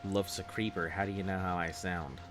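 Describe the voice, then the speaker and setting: A man with a baritone voice chatting casually with viewers on a live game stream, in a loose, rambling way. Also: steady tone, a little fast, over music. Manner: rambling, loose, chuckling at chat.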